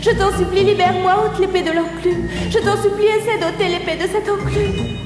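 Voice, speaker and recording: A woman voicing a gentle young fairy princess in a dubbed cartoon, talking in a soft, earnest, imploring way. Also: very high-pitched, little pitch movement, brisk, reverberant, big-room sound. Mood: pleading. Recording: music playing behind.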